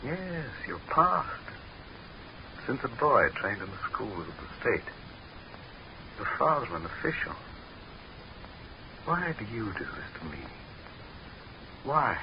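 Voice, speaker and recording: An actor playing an imperious, pompous dictator prone to panic, in a haughty, commanding 1940s radio horror style. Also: male voice, mid-range voice, sing-song, takes it slowly, long pauses. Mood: shaken. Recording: muffled, noisy 1940s radio recording.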